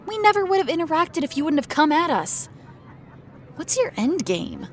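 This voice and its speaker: A woman playing a feisty, suspicious teenage girl in an audio drama, sarcastic and sharp-tongued. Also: high voice, big pitch swings, moderate pace, some chatter behind, slight background noise. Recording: faint outdoor street ambience behind.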